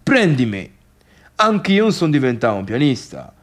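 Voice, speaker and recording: An actor playing a chatty Tuscan villager with a strong local way of talking, grumbling and exclaiming through a theatrical monologue. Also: male voice, mid-range voice, big pitch swings, normal speed, close to an everyday voice. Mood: grumbling, offended. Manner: colloquial, grumbling, exclamatory storytelling. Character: chatty, gossipy Tuscan villager recounting a disastrous party. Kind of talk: theatrical comic monologue for radio drama.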